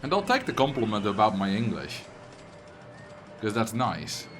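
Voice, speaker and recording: A man's voice: fairly low pitch, wildly swooping pitch, moderate pace, fairly bright tone. A man with a Dutch accent chatting casually with viewers on a livestream while he plays a game. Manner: chatty, rambling, answers viewers' messages. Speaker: streamer. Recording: game music playing behind.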